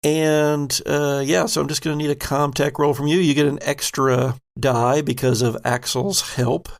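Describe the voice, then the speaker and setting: A man narrating as game master of a tabletop game in a measured, descriptive way. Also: fairly high pitch, fairly even intonation, gravelly.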